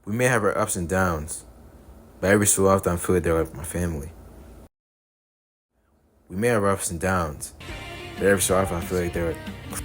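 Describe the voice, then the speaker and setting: A man voicing an earnest, guarded young male devil hunter from another universe, delivering animated-story dialogue. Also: sits low, a little fast, long pauses, faint music behind, close to an everyday voice. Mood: somber, resolute. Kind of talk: animated-series character dialogue, recorded in repeated takes.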